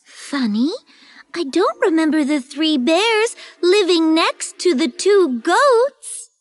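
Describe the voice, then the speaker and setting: Little cartoon girl, super high voice, earnest n curious, slow careful talking. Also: very animated, dry recording, exaggerated voice. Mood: curious, skeptical. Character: curious, eager young girl in a storybook cartoon. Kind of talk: children's educational cartoon acting.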